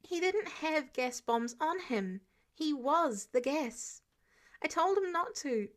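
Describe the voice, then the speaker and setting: A woman's voice: high voice, lively intonation, slow pace, voice pushed up. A woman voicing an anxious, guilt-ridden teenage superhero boy in a story reading, hesitant and subdued. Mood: guilty, subdued. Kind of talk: character dialogue in fan fiction reading.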